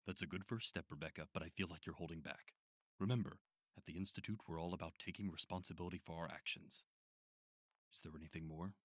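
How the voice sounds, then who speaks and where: Institute doctor, audio drama. Deep male voice, measured, formal, probing; dry, close recording. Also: fairly even intonation, dry recording. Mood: coaxing, firm. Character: smooth, probing male psychiatrist running an institute.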